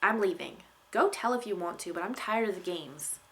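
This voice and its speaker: A woman's voice: on the low side, expressive, normal speed, a bit bright. A woman reading the part of a fiery, stubborn young tribeswoman in a storybook, curt and insistent. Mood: curt, impatient.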